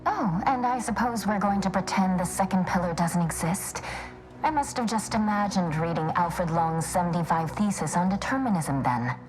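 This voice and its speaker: A woman's voice: fairly low pitch, moderate pace, natural voice, poor audio. A woman playing a sardonic, argumentative scholar with a blunt way of talking in a video game. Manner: sarcastic, blunt. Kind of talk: video game dialogue acting.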